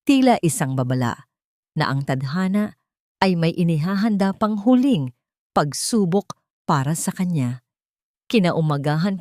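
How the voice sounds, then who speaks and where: A woman's voice: mid-range voice, a little mellow, dry recording, clean studio sound. A woman reading a scripted dramatic story as its narrator.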